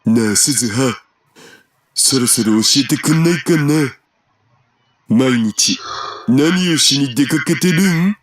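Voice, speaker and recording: A man's voice: mid-range voice, normal speed, long pauses, close to an everyday voice. An actor playing a cheeky, geeky young man in an anime visual novel, with a theatrical delivery. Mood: earnest. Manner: theatrical, swinging from silly outbursts to earnest. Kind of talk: Japanese anime game voice acting.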